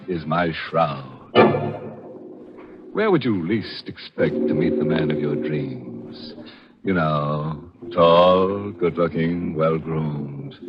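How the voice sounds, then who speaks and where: Male horror host, mock-sinister and gleefully ghoulish, deep, unhurried drawl, vintage radio sound with faint music. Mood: announcing. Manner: mock-sinister, drawn-out, chuckling. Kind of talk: 1940s horror radio hosting. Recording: dull vintage 1940s radio recording.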